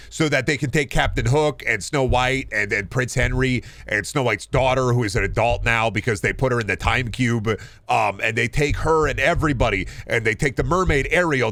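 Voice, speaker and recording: A man with a mid-pitched voice talks casually, as a streamer does to his chat. He rambles along haltingly, circling back and repeating his phrases. The sound is dry, with no room echo. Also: fairly bright tone. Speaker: video game streamer.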